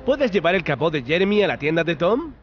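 A man's voice: fairly high pitch, big pitch swings, brisk, dull audio, background noise. A man narrating a preschool cartoon, talking to the characters with encouraging exclamations and questions.

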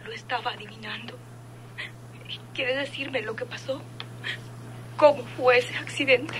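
A woman's voice: fairly high pitch, a little slow, slight background noise, lightly acted, low-quality recording. A woman playing an anxious but composed nurse in a radio drama, asking questions with urgency. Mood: grieving, composed.

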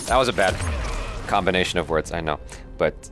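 A man's voice: low-pitched, brisk. A man in his thirties chatting casually as a reaction host, joking and slangy, on a headset. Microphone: headset, indoor studio room. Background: game music.

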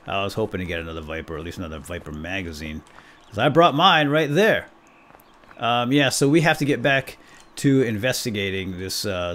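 A man's voice: on the low side, a bit bright. A man hosting a let's play, giving casual gameplay commentary with playful exclamations and asides.

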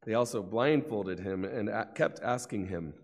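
A man's voice: fairly low pitch, moderate pace. A middle-aged man solemnly reading scripture as narrator at a lectern. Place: large church, at lectern. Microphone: lectern gooseneck microphone. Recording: long church reverb.

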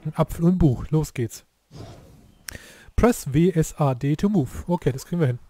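Hoarse excitable gamer guy. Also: fairly high pitch, moderate pace, soft background music. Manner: excitable, swears, exclaims at the game. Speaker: let's play youtuber. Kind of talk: casual gameplay commentary.

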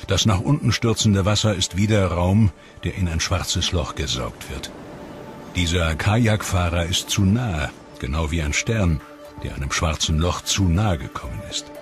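Male doc narrator, deep voice, calm explanatory read, music underneath. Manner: measured, explanatory. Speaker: documentary narrator. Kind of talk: scripted documentary narration. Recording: voice-over with music bed. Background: clear music.

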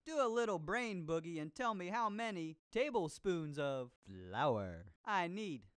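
Swooping, groovy disco cooking host. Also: male voice, sits high, very animated, a bit bright, clear, resonant tone, no echo, clean studio sound, heavily acted. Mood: groovy, playful. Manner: exaggerated jive talk, drawn-out showy delivery. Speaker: game host. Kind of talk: scripted educational game character performance. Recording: music behind the voice.

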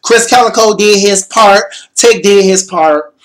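A young man, slightly hoarse, excitedly recapping a concert in a casual bedroom vlog, swearing and repeating himself. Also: high voice, measured pace. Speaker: vlogger. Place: small bedroom.

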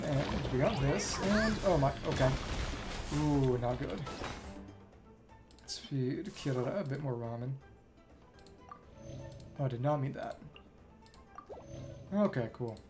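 A woman streamer giving casual live gameplay commentary, half muttering to herself with mild exclamations. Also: deep voice. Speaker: game streamer. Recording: loud game music behind voice. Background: loud game music.

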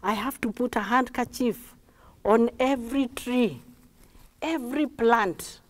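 A woman's voice: on the high side, slow. A woman voicing a loving, forgiving father in a story, speaking earnestly and emphatically. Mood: determined, hopeful. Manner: earnest, emphatic, repeating himself. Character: loving, forgiving father longing for his son. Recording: echoey hall with long reverb.

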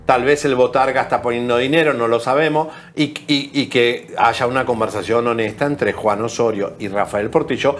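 A man's voice: mid-range voice, normal speed, hardly any pauses. A middle-aged man with an Argentine accent, co-hosting a celebrity gossip show from a TV studio, scolding and sarcastic in heated commentary. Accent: Argentine Spanish. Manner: indignant, scolding, sarcastic asides. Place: bright TV studio set.

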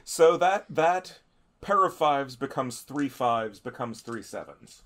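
A man talking casually as a player in a tabletop game, halting and repeating his words. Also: mid-range voice, lively intonation, fairly bright tone. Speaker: tabletop role-playing player. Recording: faint background noise.

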